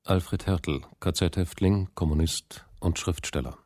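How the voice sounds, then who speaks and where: A low male voice, recorded dry, serves as announcer in a radio drama. The delivery is terse, factual and impersonal. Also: slow, darkish tone, no room sound.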